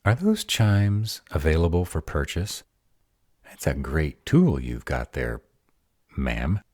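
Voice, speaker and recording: A man's voice: a bass voice, big pitch swings, slow pace, a little mellow, dry recording, heavily acted. A man playing a grumbly, smitten, easily distracted were-dragon in a fantasy audio roleplay, grumbling in conversational asides. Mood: curious, playful.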